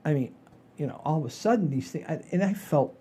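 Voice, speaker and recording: Mellow, rambling middle-aged male show host. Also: fairly high pitch, fairly quick, breathy edge. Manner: rambling, animated storyteller with frequent swearing. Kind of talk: casual chat, storytelling.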